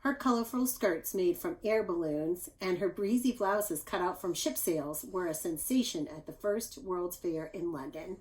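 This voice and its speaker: A woman's voice: lowish voice, a little slow, hardly any pauses, rough recording. Woman reading a picture book aloud. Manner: animated, addresses young listeners with asides. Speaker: teacher reading aloud to children.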